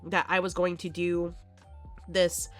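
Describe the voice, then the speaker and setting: A young woman hosting a casual horror movie vlog, chatty and quick to laugh, sounding a bit congested from allergies. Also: fairly low pitch, monotone, soft background music. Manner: chatty, laughs often, many fillers.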